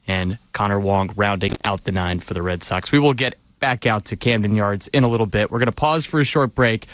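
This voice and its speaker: A man hosting a radio pregame show from the studio, moving from somber remarks on sad news to brisk sponsor reads. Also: medium pitch, a bit bright, no echo. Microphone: studio microphone.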